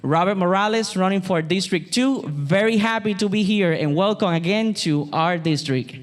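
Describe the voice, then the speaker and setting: A man's voice: sits high, normal speed, a little hiss or hum. Man with a Puerto Rican accent, campaigning. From Puerto Rico. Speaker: political candidate. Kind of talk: campaign speech.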